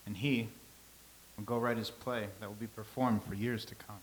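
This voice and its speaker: A man reading the part of a calm, philosophical old-time scribe, speaking in a measured, lecturing way. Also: fairly low pitch, a little hiss or hum, lightly acted, poor audio. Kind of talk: staged reading.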